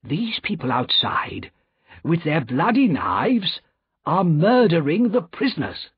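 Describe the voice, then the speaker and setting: Man playing an anxious gentleman banker, high and animated. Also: takes it slowly, husky, muffled recording, heavily acted. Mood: urgent. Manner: agitated, pleading.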